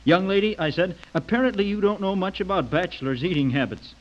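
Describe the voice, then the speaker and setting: A man's voice: sits high, a little fast, lightly acted. An actor playing a chatty, tactless middle-aged father and insurance salesman in a radio drama. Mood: amused, blunt. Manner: jovial, blunt, teasing. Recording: dull lo-fi radio recording.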